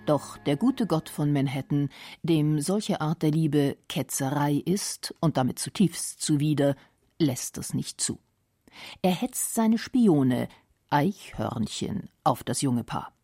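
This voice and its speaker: A woman narrating a radio feature in a breathy, deliberate way. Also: deep voice, mellow, dry recording, clean studio sound. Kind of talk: scripted narration.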